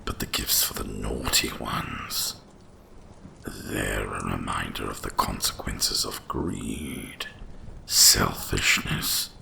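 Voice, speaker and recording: A man voicing an ancient, wise dragon guardian of winter in a slow, solemn, gravelly whisper for an ASMR roleplay. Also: pushed and bright, heavily acted. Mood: grave, knowing. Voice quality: deep gravelly breathy whisper. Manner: slow, solemn, deliberate delivery.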